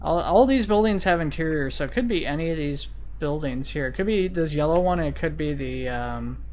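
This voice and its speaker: Casual game streamer, medium pitch, rambling think-aloud commentary, faint vehicle and siren noise in the background. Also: animated, a little fast, darkish tone. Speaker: video game streamer and host. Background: game sirens and vehicle sounds.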